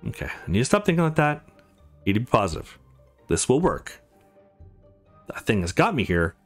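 A man's voice: medium pitch, sing-song, normal speed. A man voicing an anxious, hot-tempered, desperate young man in a visual novel, over music. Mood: worried. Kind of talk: visual novel dialogue read aloud.

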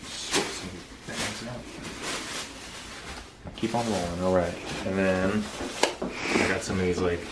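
A young American man with a very low voice talks casually and plainly, like a home vlogger. He is low-key and matter-of-fact. The recording is echoey, with clear room noise behind him. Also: steady tone, slow, pauses often. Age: 20s. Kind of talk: casual grocery haul chat. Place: open kitchen and dining room at home. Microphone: camera a few feet away.

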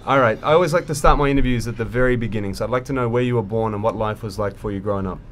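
A man interviewing a guest in a studio, asking calm, brief questions. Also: fairly low pitch, racing, slight background noise. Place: recording studio.

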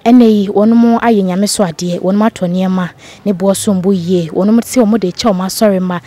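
A young Ghanaian woman reports to camera outdoors, holding a handheld mic. Her voice is mellow and warm, and she keeps up a brisk pace with small fillers. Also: mid-range voice, steady tone. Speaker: field reporter. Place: outdoors beside a building. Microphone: handheld microphone.

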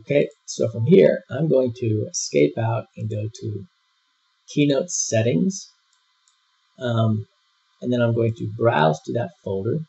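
A man giving a screen-recorded software tutorial, walking through each step in a relaxed way with frequent fillers. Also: medium pitch, a little slow, vocal fry, husky. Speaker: software instructor. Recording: dull lo-fi voice-over.

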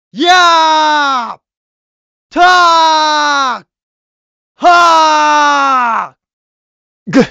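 Slow-spoken, proud young surgeon hero. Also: male voice, very high-pitched, gravelly, bright, forceful tone, no room sound, muffled recording, full character voice. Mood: fierce battle cries. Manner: curt, haughty, solemn declarations. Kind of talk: video game battle voice lines.

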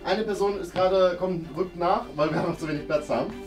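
A man's voice: sits high, a little fast. A young man hosting a game with his crew in casual, upbeat chat in a studio room. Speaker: host and crew member of a game show. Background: music.

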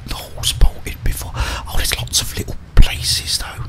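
A middle-aged man whispers ASMR gameplay commentary in a breathy voice, muttering and mildly grumbling to himself. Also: a little slow. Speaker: gamer, ASMR video host. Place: indoors, small room at home.

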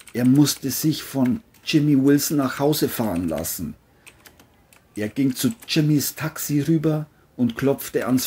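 A man narrates a crime story at a measured, slow pace. His voice is mellow and husky, of medium pitch.

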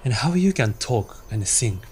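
A man's voice: medium pitch, very animated, unhurried, music in the background. A Japanese man with a Japanese accent giving casual, playful gameplay commentary, reacting aloud and laughing often. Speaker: gaming streamer.

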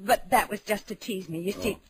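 An actress playing a nervous, apologetic young out-of-town wife in an old-time radio drama, hesitant and flustered. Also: female voice, mid-range voice, brisk, slight background noise, close to an everyday voice. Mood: nervous, embarrassed. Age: young adult.

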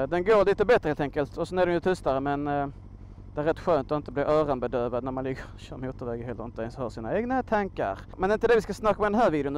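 Male vlogger from Sweden, smooth clear voice a little on the high side, informal and slangy, unscripted. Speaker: motorcycle vlogger, host. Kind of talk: casual chatty vlog monologue. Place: outdoors on a motorcycle by a road.

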